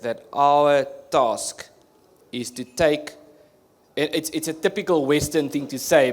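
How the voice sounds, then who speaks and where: Earnest Afrikaans-accented man explaining. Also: medium pitch. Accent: South African Afrikaans. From South Africa. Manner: earnest, explanatory, frequent restarts and fillers. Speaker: guest speaker. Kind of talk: panel discussion answer. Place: conference hall.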